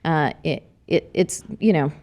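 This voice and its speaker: A woman's voice: low-pitched, a little slow, muffled recording. A middle-aged woman with a slight Texas accent, a political candidate talking earnestly in a casual podcast conversation, with many fillers and restarts. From New Mexico, raised in Arizona.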